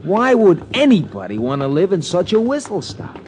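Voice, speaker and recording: Wisecracking, slangy radio sidekick. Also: male voice, sits high, wildly swooping pitch, moderate pace, darkish tone. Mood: grumbling. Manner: slangy, grumbling, wry. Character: wisecracking tough-talking male city sidekick. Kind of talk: 1940s radio acting. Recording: noisy old radio recording with clicks.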